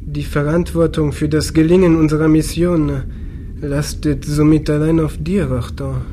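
Casting: male actor as doubting ship's crewman, soft dark tone, matter-of-fact, ambient noise behind, sci-fi drama. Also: on the high side, level tone, normal speed, lightly acted. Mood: sceptical. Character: sceptical male crewmate of a sect leader. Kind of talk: science fiction radio play acting.

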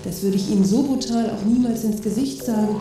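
A woman's voice: medium pitch, a little fast, natural voice. A woman playing a pushy, scornful gadget seller in a satirical radio play, insistent and condescending. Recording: very echoey, distant, music behind.

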